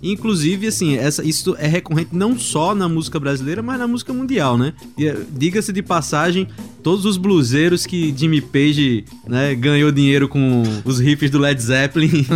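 High-voiced Brazilian man, casual banter. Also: moderate pace. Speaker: music show co-host. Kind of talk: casual chat. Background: music playing softly behind.

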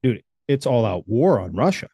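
A man's voice: medium pitch, very animated, moderate pace, warm tone, husky, no room sound. Heated, profane male co-host, sarcastic rants, dry and close. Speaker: podcast co-host. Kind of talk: casual chat.

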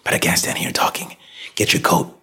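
A man's voice: fairly quick, sharp tone, in a whisper, close to an everyday voice. A man voicing a frantic, commanding husband and father in a dramatic audiobook, barking urgent, insistent lines. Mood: urgent, grim. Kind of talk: dramatic audiobook character reading.

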